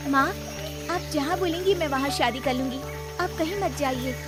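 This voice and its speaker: A woman playing a naive, dutiful young daughter in a cartoon story, speaking in a gentle, placating way. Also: sits high, moderate pace, lightly acted. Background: loud background music.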